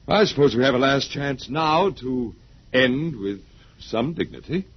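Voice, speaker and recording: An actor playing a weary, wry, stubborn man in 1950s science fiction radio style, halting at times and emphatic at others. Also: medium pitch, animated, slightly rough. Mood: wry, relieved. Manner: halting then emphatic, wryly ironic. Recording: muffled, hissy vintage radio broadcast.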